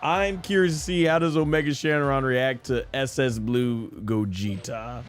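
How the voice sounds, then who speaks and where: A man's voice: mid-range voice, a little slow. A man chatting casually as he commentates on a video game live. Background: game music.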